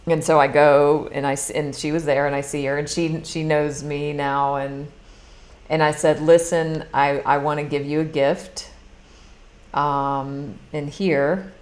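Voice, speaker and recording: A middle-aged woman from the United States talks in a casual, confessional way about her own habits. Her voice sits low, and she often restarts a sentence mid-thought. She sounds like a coach chatting live. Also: flat delivery, a little slow, darkish tone. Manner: chatty, rambling, many restarts; earnest, confessional. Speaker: money mindset coach. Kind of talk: casual live talk, personal story.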